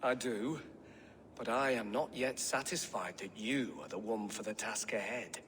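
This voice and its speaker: Preachy, haughty medieval priest. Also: male voice, fairly low pitch, a little slow, a bit bright, a little hiss or hum. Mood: solemn, testing. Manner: formal, preachy, condescending.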